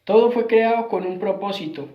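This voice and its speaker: A man's voice: sits high, moderate pace. A man giving an earnest Bible teaching sermon, repeating his phrases and often ending with tag questions. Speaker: Messianic preacher. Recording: roomy, dull lo-fi sound.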